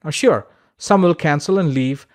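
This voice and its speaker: A man's voice: fairly high pitch, moderate pace. A man narrating a scripted online course as its instructor, in a conversational, emphatic, instructional way.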